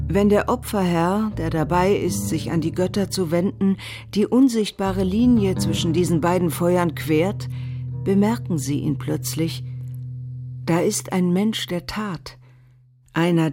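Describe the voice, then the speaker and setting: A woman narrates with a slightly low voice and a very slow, deliberate pace. Her delivery is solemn and scripted, with faint music underneath. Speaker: narrator in a radio play.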